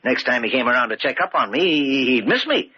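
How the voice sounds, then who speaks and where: A man's voice: medium pitch, very animated, fairly quick, slightly rough. An actor playing a stubborn, evasive retired old man with a menacing streak, hesitating and repeating himself in old-time radio style. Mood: cagey, flustered. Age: older. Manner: hesitant, evasive, muttering repetitions. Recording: muffled, noisy old radio broadcast.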